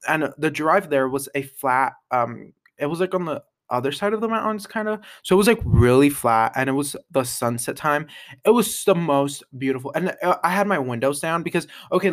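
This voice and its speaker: A young man hosting an unscripted podcast, chatting casually and rambling, with lots of fillers, restarts and quick laughs. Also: medium pitch, a bit bright. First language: English. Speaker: podcast host.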